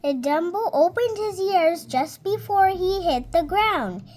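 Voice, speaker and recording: A girl of about seven reading a storybook aloud in a careful, halting, word-by-word way. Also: extremely high pitch, lively intonation, darkish tone. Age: child, about seven. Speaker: young reader.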